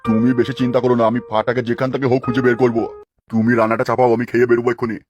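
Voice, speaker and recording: A man's voice: medium pitch, flat delivery, very fast, dull audio, lightly acted. A man playing a worried, coaxing old father-in-law in an amateur cartoon dub. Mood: coaxing, exasperated. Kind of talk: amateur Bengali cartoon dubbing. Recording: music clearly behind voice.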